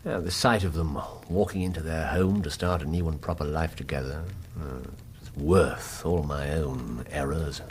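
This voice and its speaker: An actor with an English accent playing a pensive, courteous Victorian detective in a radio drama, with formal theatrical phrasing. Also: male voice, extremely low pitch, fairly even intonation, moderate pace, few pauses, a little hiss or hum. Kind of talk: period radio drama acting.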